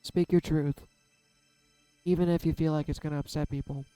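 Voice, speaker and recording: A soft-spoken man musing aloud in a candid, self-deprecating way as he gives a casual tarot reading. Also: fairly high pitch, steady tone, normal speed, clean tone, no echo, faint music behind, poor audio. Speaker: tarot reader. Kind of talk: casual reflective vlog.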